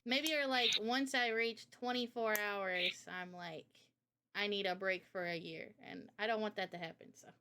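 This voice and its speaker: A woman's voice: mid-range voice, steady tone, moderate pace, pushed and bright, smooth. A woman in a casual interview chat about games, speaking informally and with opinions as the host. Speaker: host and game developer.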